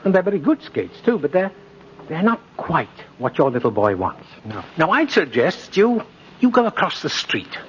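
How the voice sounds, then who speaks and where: Kindly old Santa, very animated. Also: male voice, on the high side, moderate pace. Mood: kindly, assured. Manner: chuckling, gently insistent, old-fashioned. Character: kindly old department-store Santa Claus, principled and cheerful. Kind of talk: 1950s radio drama acting. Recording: dull, noisy old radio recording.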